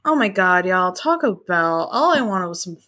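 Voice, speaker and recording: A woman co-hosting a casual podcast from home over a call, telling stories in an animated, profane, exasperated way. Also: lowish voice, normal speed. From Nebraska, USA. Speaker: podcast co-host.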